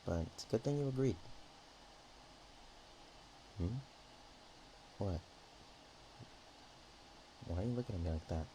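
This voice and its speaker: A man playing a naive, nervous, affectionate dragon in a roleplay ASMR, talking in a hesitant, rambling, halting way. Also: low voice, animated, background noise. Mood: puzzled, innocent.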